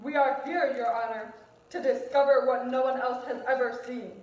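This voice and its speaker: A woman's voice: high-pitched, steady tone, normal speed, close to an everyday voice. A woman playing an indignant, self-righteous accuser who presses insistently in an amateur puppet-show trial drama. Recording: very echoey room, dull sound.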